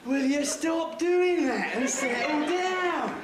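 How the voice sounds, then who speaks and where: Bungling, impatient gangster henchman played by a man, very high projected voice, echoey hall stage with audience. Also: animated, slow, a loud crowd, heavily acted. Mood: exasperated, bossy. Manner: stammering repetition, loudly projected. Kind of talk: amateur pantomime stage acting.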